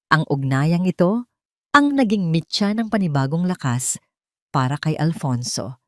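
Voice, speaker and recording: A woman's voice: lowish voice, warm tone, no room sound, clean studio sound. A woman reading a scripted dramatic story as its narrator.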